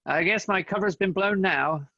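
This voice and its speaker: Courteous undercover detective played by a man for radio comedy: earnest, bright voice, dull recording with music. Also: high voice, normal speed, no echo, full character voice. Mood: earnest, taking charge. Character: polite undercover detective posing as waiter, also narrating. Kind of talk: comic radio-play acting with narration.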